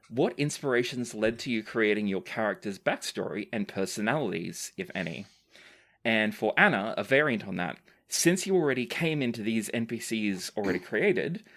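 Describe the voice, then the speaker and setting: A man's voice: on the low side, a bit bright. A man hosting a casual question-and-answer chat as game master, speaking in a sincere, appreciative way. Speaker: podcast game master and Q&A host.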